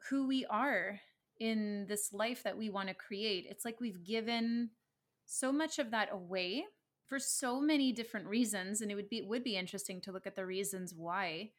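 A woman's voice: medium pitch, moderate pace. A woman co-hosting a podcast, speaking earnestly and reflectively about spirituality in casual conversation. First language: English. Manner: earnest, reflective, laughs easily. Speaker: podcast co-host.

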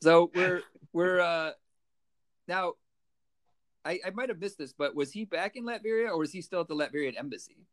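A man's voice: sits high, normal speed. A man co-hosting a podcast, chatting casually and conversationally in a comic book review style. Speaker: podcast co-host.

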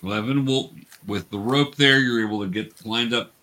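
A man's voice: mid-range voice, normal speed, bright, forceful tone. A man acting as game master, narrating a tabletop game and explaining options to the players.

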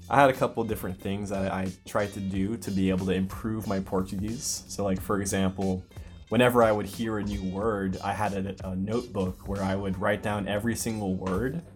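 A man from the United States hosting a casual language-learning podcast, explaining things patiently with clear examples. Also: lowish voice, steady tone, brisk, faint music behind. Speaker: podcast host. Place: lives in Brazil.

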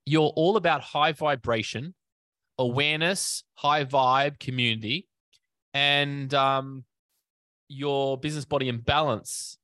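A man's voice: mid-range voice, steady tone, slow, sharp tone, dry recording. Guy hosting a fitness podcast, chatty, lots of ums, dry close sound. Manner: rambling, many fillers and restarts. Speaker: podcast host. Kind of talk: casual interview.

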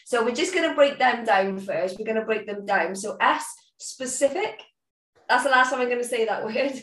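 A woman's voice: on the high side, moderate pace, a bit bright, distant mic. A woman co-hosting a podcast, chatting casually with giggles and fillers. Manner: giggly, rambling with lots of fillers. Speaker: podcast co-host. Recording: echoey, a bit off-mic.

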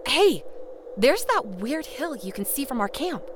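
A woman playing an earnest, sharp-tongued young eco-warrior in a comedic audio drama, with a dryly scolding manner. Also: high-pitched, big pitch swings, a little slow, background noise. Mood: wry.

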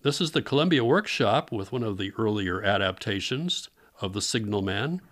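A man's voice: fairly low pitch, lively intonation, normal speed, fairly bright tone. A man hosting a radio theater program, reading a scripted introduction to vintage broadcasts. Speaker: radio theater podcast host.

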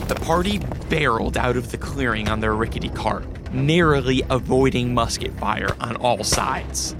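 A man's voice: medium pitch, moderate pace, over music, close to an everyday voice. A man narrating a gothic adventure radio drama in a solemn, literary, dramatic manner.

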